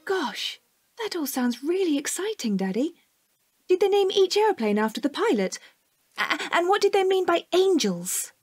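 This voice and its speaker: A woman's voice: extremely high pitch, sing-song, moderate pace, soft background music. A woman playing an eager, awed nine-year-old girl full of questions in an audio drama. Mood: awed curiosity. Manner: wide-eyed, questioning, childlike.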